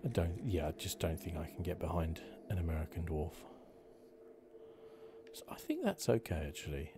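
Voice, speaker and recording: A man giving casual ASMR commentary on a game playthrough in a hushed, rambling, musing manner. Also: deep voice, animated, normal speed, background noise. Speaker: gaming video host.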